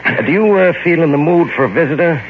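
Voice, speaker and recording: An actor in a 1940s-style radio drama playing a composed, paternal psychiatrist, speaking in a measured, formal, soothing way. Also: male voice, fairly high pitch, animated, noisy background. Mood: calm, reassuring. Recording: dull old radio recording, faint music.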